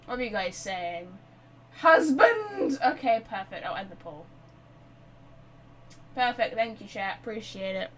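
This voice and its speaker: A woman chatting casually and excitably as she livestreams a game, rambling to her viewers. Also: mid-range voice, measured pace, pauses often, a little hiss or hum. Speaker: live streamer. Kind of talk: casual game stream chat.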